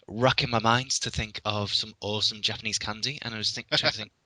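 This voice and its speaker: A man's voice: lowish voice, fairly even intonation, a little fast, sharp tone, no room sound. A man from England with a Liverpool accent, chatting casually as a guest and often restarting his sentences with dry humor. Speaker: podcast guest. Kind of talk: casual chat.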